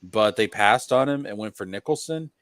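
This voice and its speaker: A man with a fairly low voice talks casually and emphatically, as if debating with friends. He circles back to restate his points. The sound is close and dry, with no room echo. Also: lively intonation, normal speed, fairly bright tone. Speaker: podcast panelist. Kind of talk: casual film discussion with friends.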